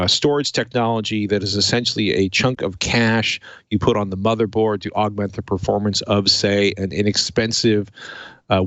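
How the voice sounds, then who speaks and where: A man hosting a tech show in a casual, chatty style with a playful, wry and expressive manner. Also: fairly low pitch, no echo, dull audio.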